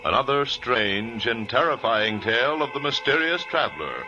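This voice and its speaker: A man's voice: medium pitch, animated, moderate pace, background noise. A man announcing formally from a script in 1940s radio style. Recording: dull old radio recording with music.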